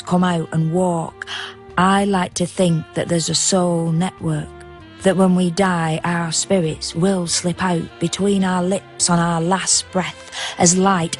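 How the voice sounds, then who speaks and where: Slow, low, creaky woman narrating. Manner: measured, lyrical, rhyming delivery. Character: hushed, knowing female narrator of the night. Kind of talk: poetic radio drama narration.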